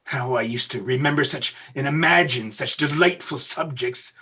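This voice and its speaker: A woman playing an old man in a radio play, wistful and moved by his past, in a husky, exclaiming, dramatic voice. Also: rumbling low, moderate pace, pushed and bright, full character voice. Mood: wistful, regretful.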